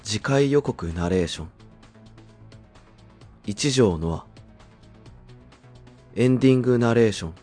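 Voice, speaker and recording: A man's voice: medium pitch, animated, a little slow, pauses often, a little mellow, faint music behind. A man reading scripted credits as the narrator of an audio drama.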